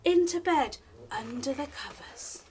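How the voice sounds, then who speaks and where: A woman reading a children's picture book aloud in an expressive, singsong way, speaking directly to young listeners as a library storytime reader. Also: sits high, unhurried, a little hiss or hum.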